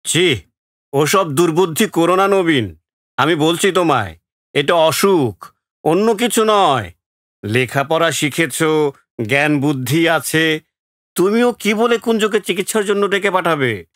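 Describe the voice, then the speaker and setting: A man's voice: fairly high pitch, animated, a little slow, pauses often, a bit bright, no echo, clean studio sound. An actor playing a tense, emphatic village man in an audio drama. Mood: worried, protesting. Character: village men in tense dispute, earnest or taunting. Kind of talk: Bengali audio drama acting.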